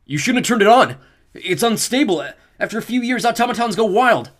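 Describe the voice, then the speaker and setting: A man's voice: a little high, big pitch swings, moderate pace, slightly rough, pitched above the performer's own voice. A man voicing an injured, earnest teenage boy who is a skilled builder and barks urgent commands.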